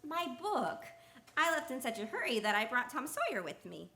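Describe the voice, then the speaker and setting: A woman's voice: high-pitched, very animated, normal speed, bright, forceful tone, full character voice. A woman playing a cheerful, naive apprentice guardian angel in a radio play, chirpy and exclaiming. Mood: flustered, bright. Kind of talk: stage-style radio play acting.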